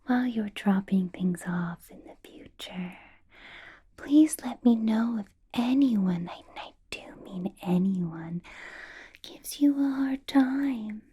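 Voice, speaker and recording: A woman playing a possessive, menacingly sweet vampire boss in a whispered ASMR roleplay, coaxing and polite with drawn-out words. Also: mid-range voice, animated, mellow, exaggerated voice. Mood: protective, soothing. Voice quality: breathy whisper.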